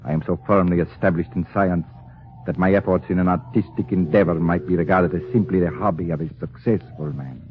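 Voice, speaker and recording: An actor playing a grave, sinister professor with formal, measured, persuasive speech in an old radio drama. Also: male voice, low voice, fairly even intonation, lightly acted. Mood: confiding. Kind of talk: 1940s radio acting. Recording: tinny, noisy old radio broadcast.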